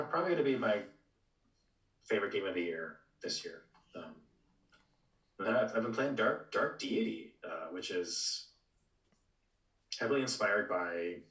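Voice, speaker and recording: Man, low pitch, roomy echo, halting, tentative, casual podcast talk, repeated words. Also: lively intonation, fairly bright tone. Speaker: podcast co-host. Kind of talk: casual video game discussion. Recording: roomy echo, distant from mic.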